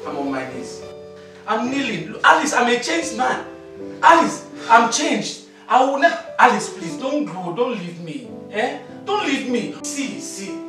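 An actor playing a desperate husband who begs his wife, then rages and shouts, with his pitch swinging widely. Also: sing-song, unhurried, exaggerated voice. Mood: pleading. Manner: begging, then screaming. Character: desperate pleading husband turned raging shouter. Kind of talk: melodrama acting. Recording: echoey room from far off with music.

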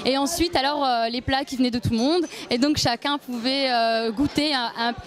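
A woman's voice: sits high, moderate pace, over music. A young woman speaking into a handheld mic at an indoor event, talking with many fillers and restarts. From Algeria, M'sila province. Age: young adult. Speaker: youth association member. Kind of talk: on-camera interview at an event.

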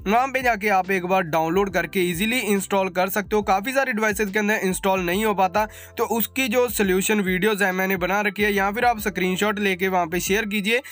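A man's voice: high voice, fairly bright tone. A man delivers a tech update voice-over. He talks informally to his viewers as a channel host, dropping in English terms, over faint background music.

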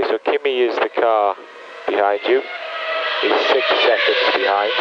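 A man working as a race engineer, giving technical instructions over team radio. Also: on the low side. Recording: muffled, noisy motorsport team radio.

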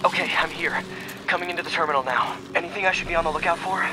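A man's voice: on the high side, rapid-fire, background noise, lightly acted. An actor playing an earnest, protective young male superhero in a dramatic video game. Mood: focused.

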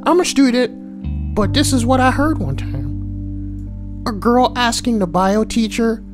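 A woman's voice: fairly high pitch, animated, slow pace, over music. A woman reading forum posts aloud and adding crude, sweary, joking commentary. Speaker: online narrator and commentator.

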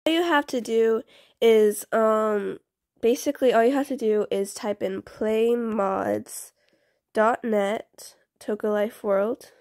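A woman's voice: fairly high pitch, fairly even intonation, slow, darkish tone, dry recording, clean studio sound. A young woman doing a casual, chatty house-tour voice-over for a game video, often interrupting herself in an informal way. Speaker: video creator.

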